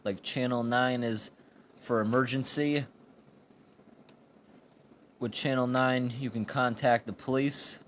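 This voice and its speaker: A man giving an informal hands-on product review in a plain, explanatory way with halting phrases, recorded indoors on a handheld camera. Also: medium pitch, steady tone. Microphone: handheld camera or phone microphone. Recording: amateur recording with background room noise.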